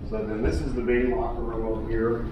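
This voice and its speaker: A man showing visitors around a clubhouse locker room, explaining in a relaxed, matter-of-fact way. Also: medium pitch, fairly quick, far from the mic, highs cut off. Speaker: club member giving a tour. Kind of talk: casual guided tour. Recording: echoey room with background noise.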